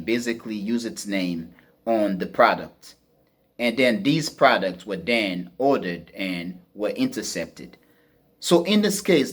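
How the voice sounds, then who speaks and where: A man's voice: lowish voice, husky. A man delivering a semi-improvised geopolitical commentary as a podcast host, emphatic and repeating phrases for stress.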